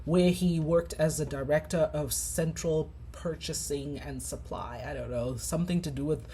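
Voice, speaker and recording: A woman in her forties from Zimbabwe, a clinical psychologist telling her personal story with many pauses and fillers. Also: low-pitched, warm tone, a little hiss or hum. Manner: reflective, many ums and uhs.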